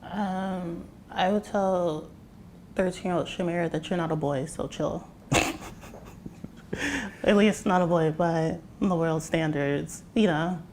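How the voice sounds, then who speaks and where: A guest assigned male at birth, with a high-pitched voice, answering an interview in a hesitant way full of fillers. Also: steady tone, slow pace, long pauses, darkish tone, slight background noise. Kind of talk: candid conversational interview answer.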